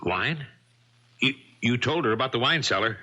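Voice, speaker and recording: An actor playing an anxious, guilt-ridden husband in a radio mystery, with a halting, agitated delivery full of stammered repetitions. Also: male voice, medium pitch, lively intonation, pushed and bright, breathy edge. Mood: worried. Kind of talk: 1970s radio mystery acting.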